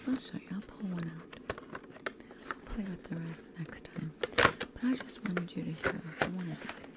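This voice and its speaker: A woman whispers in a low register, very close to a sensitive microphone. She plays an ASMR teacher, patient and encouraging, gently and slowly repeating single words as if to a class. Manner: slow, gentle repetition of trigger words. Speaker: ASMR host playing a teacher. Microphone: close sensitive stereo microphone.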